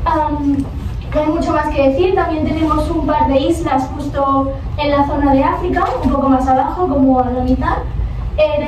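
A woman's voice: high-pitched, a little mellow, slight background noise. A teenage girl from southern Spain with an Andalusian accent, giving a class presentation with hesitant fillers, recorded from a distance in a classroom. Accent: Andalusian Spanish. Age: teens. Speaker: exchange student presenting. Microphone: far camera. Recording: echoey classroom from far off.

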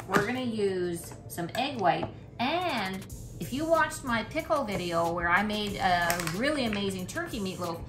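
A woman's voice: medium pitch, lively intonation, unhurried. Chatty woman cooking in an echoey kitchen. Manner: chatty, thinks aloud while cooking. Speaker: cooking vlogger. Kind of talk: casual cooking demonstration. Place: home kitchen. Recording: echoey kitchen with background music. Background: music.

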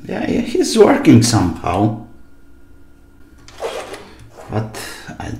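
A man's voice: mid-range voice, huge pitch swings, slow pace, gravelly. A middle-aged man giving casual running commentary while repairing, musing aloud in short bursts and asking himself questions. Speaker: hobby repairer presenting his own video. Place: small indoor home workshop at a desk. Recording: echoey room with loud noise, clicks.

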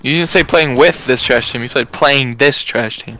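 A man's voice: medium pitch, normal speed, sharp tone, low-quality recording. A young man playing a shooter with a friend, teasing and arguing back over voice chat. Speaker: gaming friend. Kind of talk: casual gaming banter.

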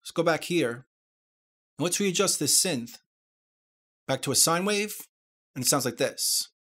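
A man presenting a software tutorial, explaining things step by step. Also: on the high side, expressive, a little slow.

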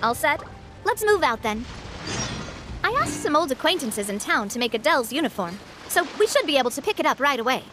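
A woman's voice: high voice, sing-song, fairly quick. An actress playing a gracious, confident young lady boss in a video game, elegant and sincere, with music behind her. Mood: warm, celebratory. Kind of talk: video game character acting.

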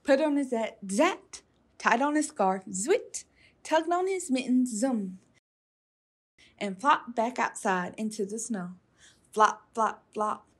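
A woman's voice: fairly high pitch, expressive, slow. A woman reading a children's storybook aloud expressively, with playful emphasis. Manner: emphatic, playful sound words. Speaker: storytime reader.

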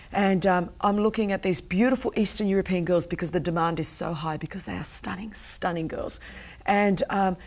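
Earnest, low-voiced woman telling a story. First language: Greek. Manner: intense, emphatic, animated hand gestures. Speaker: guest, anti-trafficking campaigner. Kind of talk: earnest storytelling in a talk-show interview. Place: TV studio set with sofas.

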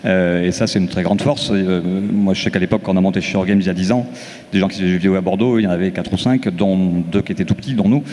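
Fast-talking deep-voiced man on stage. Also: steady tone, a little mellow. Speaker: studio co-founder, panelist. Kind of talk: panel discussion. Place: conference stage in a large hall. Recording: reverberant hall.

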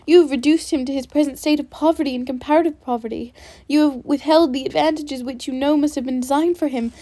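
A woman's voice: high-pitched, flat delivery, a little fast, warm tone, no echo. Elizabeth, a woman reading a spirited indignant young lady.

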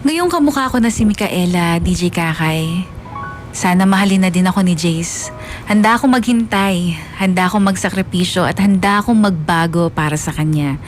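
A woman's voice: fairly low pitch, moderate pace, soft background music, lightly acted. A woman acting a lovesick, obsessive woman who narrates her story in a wistful, confiding way in a radio drama. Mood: determined. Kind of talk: first-person scripted drama narration.

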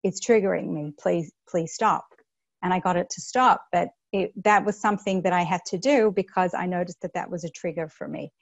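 Australian woman, 30s, earnest educator on a video call, lowish voice, lo-fi sound. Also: brisk, vocal fry, no echo. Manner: earnest, many fillers and restarts. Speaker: school educator on a panel. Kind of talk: webinar talk to teachers. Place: small room indoors. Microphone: earphone mic on a video call.

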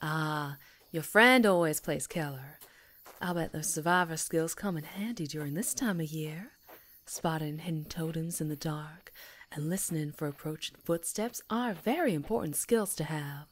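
A woman's voice: sits low, normal speed. A woman playing a sweet, jittery, chatty young woman in an ASMR roleplay, with a girlish manner. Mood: friendly, chatty.

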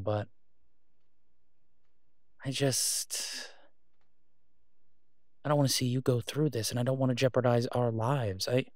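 A devoted, reassuring boyfriend, played by a man in an intimate audio roleplay. He talks softly and conversationally in a mid-pitched male voice, halting as he picks his words. The sound is dry, with no room around it. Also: no room sound.

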